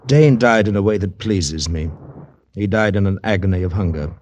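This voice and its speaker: Casting: man to play pompous, muscular bogus yogi for old-time radio crime drama; deepish voice, archaic pronouncements, crackly recording. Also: normal speed, darkish tone, natural voice. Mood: bitter, scornful. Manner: pompous, archaic, oracular phrasing. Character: pompous muscular fake yogi mystic, scornful and menacing. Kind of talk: 1940s-50s radio detective drama acting.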